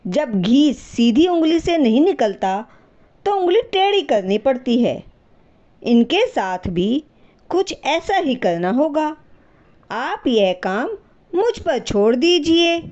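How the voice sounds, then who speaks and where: A woman narrator voices a crafty young wife from a family storybook tale. She is fed up and scheming, with a high, lively, softly mellow delivery. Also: slow pace, dull audio, exaggerated voice. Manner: complaining, scheming.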